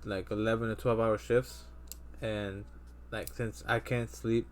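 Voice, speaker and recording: Low-voiced man rambling on a podcast. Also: flat delivery, unhurried, a little hiss or hum. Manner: rambling, many fillers and restarts. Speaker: podcast host. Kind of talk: casual chat.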